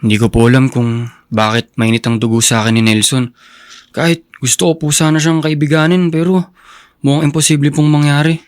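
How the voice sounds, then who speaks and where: An actor playing an earnest, proud and respectful young adopted son in a Filipino radio drama. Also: male voice, a little high, flat delivery, fairly quick, smooth, natural voice. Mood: apologetic, subdued.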